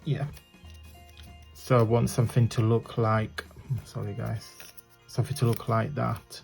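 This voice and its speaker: A man with a croaky voice hosting a casual craft tutorial, chatting and apologizing as he works with his hands at a desk. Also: on the low side, little pitch movement, a little slow, darkish tone, soft background music.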